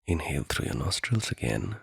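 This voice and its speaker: Deep, gravelly, husky male meditation guide. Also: sing-song, no room sound, clean studio sound. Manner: soothing, deliberate, with long pauses.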